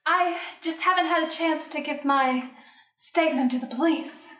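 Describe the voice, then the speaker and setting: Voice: high-pitched, moderate pace, fairly bright tone, voice pushed up. An improviser voicing a polite, sweet widow in a radio mystery play. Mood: polite, hesitant. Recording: echoey room, distant from the mic.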